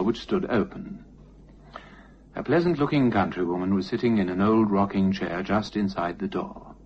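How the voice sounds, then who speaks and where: A man reading a ghost story as scripted narration, in an expressive, theatrical manner. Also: fairly low pitch. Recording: muffled, noisy lo-fi recording.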